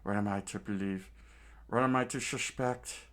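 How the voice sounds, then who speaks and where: A man's voice: lowish voice, fairly even intonation, normal speed, a bit bright, close to an everyday voice. A man voicing a stern loyal guard captain. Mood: troubled. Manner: firm and commanding.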